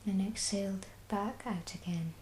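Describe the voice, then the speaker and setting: Slow soft-spoken Scottish woman. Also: medium pitch, steady tone, reverberant, a little hiss or hum. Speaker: mindfulness guide. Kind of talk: guided body scan meditation.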